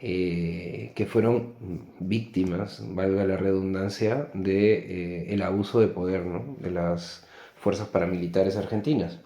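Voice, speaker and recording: Slow-speaking Peruvian man, echoey room. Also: low-pitched, steady tone, dark tone. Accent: Peruvian Spanish. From Peru. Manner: reflective, halting, ends phrases with tag questions. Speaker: book reviewer. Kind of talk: unscripted book review monologue. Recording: echoey, roomy sound.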